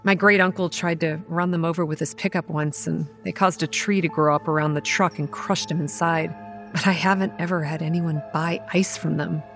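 Faint music sits behind a young female campground manager in a horror audio drama. Her voice is low and measured, telling eerie things in a pragmatic, wry, matter-of-fact way. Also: fairly even intonation. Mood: worried, businesslike. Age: young adult. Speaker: narrator and lead character. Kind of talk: first-person scripted horror narration and dialogue.

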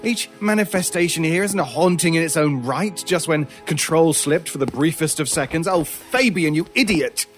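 A man acts out a sinister male stranger for an old-time style horror radio drama. He is scornful and cutting, with a theatrical, deliberate delivery that taunts and persuades coldly. Music plays behind him. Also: on the high side, expressive, lightly acted. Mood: scornful, exasperated.

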